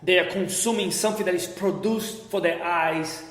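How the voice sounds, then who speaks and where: A middle-aged man with a Brazilian accent talks as an interview guest in a reverberant, echoing space. His voice sits a little high, and he tends to repeat himself and run through lists as he explains. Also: steady tone, normal speed, a bit bright. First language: Portuguese. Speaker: interview guest, golf center business owner. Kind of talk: conversational interview answers. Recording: echoey, reverberant room.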